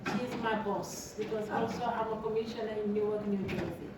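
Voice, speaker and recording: A woman's voice: mid-range voice, steady tone, a little fast. Effusive woman hosting in an echoey hall. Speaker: event host and city commissioner. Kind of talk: welcoming remarks at a community event. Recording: echoey room, distant mic, loud background noise.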